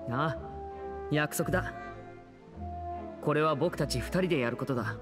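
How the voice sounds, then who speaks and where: A male actor playing a composed, protective teenage magician in an anime game, speaking smoothly and earnestly. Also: a little high, unhurried, music in the background, lightly acted. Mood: calm, resolute. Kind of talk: Japanese anime game voice acting.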